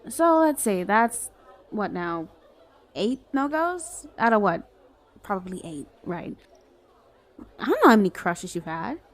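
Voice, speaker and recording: A woman's voice: mid-range voice, very animated, a little slow, pauses often. Woman acting a teasing, flirty villainess. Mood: teasing, amused. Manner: casual, playful, sardonic. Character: flirty, teasing, self-justifying villain woman courting a crush. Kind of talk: acted ASMR roleplay monologue.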